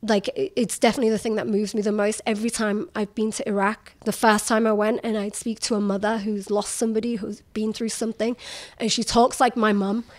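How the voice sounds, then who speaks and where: A woman's voice: medium pitch, little pitch movement, fairly quick. A woman journalist in her thirties, talking candidly in an interview in a forthright, emphatic way and filling her speech with "like". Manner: forthright, emphatic, uses many "like" fillers. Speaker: journalist guest.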